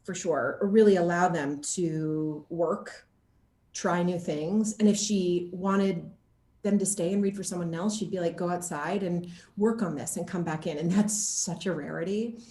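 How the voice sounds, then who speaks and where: Female interviewee, lowish voice, roomy echo. Casual, expressive actor recounting stories with lively quoted lines. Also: measured pace, slight background noise. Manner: animated, expressive storytelling, quoting others as she goes. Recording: echoey room.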